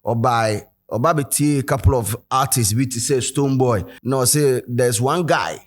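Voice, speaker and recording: A man's voice: medium pitch, normal speed. Chatty Ghanaian man hosting commentary. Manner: chatty, loose, full of fillers and code-switching. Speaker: host and commentator. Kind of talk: casual editorial commentary mixing English and Twi.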